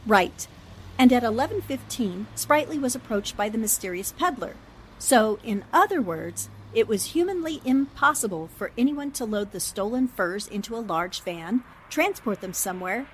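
A woman acts out a shrewd newspaper sleuth in a forties radio drama. She sounds brisk, assured and emphatic in a fairly high voice. Light ambient noise is behind her. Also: natural voice. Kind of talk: 1940s radio drama acting.

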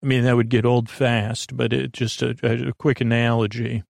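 A man hosting a bedtime podcast in a creaky, soothing voice, meandering through halting tangents and interrupting himself. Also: mid-range voice, level tone, no room sound, clean studio sound. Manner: lulling, soothing. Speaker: podcast host. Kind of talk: rambling bedtime sleep-podcast monologue.

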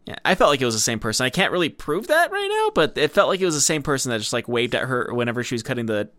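Male podcast co-host, mid-pitched and animated, hedges his points in casual film talk, very dry recording. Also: very fast, no echo.

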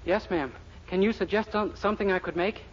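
A man's voice: sits high, a little fast. An American actor playing a timid, innocent, literal-minded young man in a 1940s radio comedy. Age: young adult. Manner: innocent, diffident, earnest. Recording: noisy, dull 1940s radio recording.

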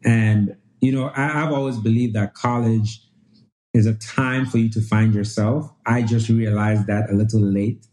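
A man from Nigeria in his thirties, a guest pulmonologist who tells his story in a relaxed way, often restarting and repeating phrases. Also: on the low side, moderate pace.

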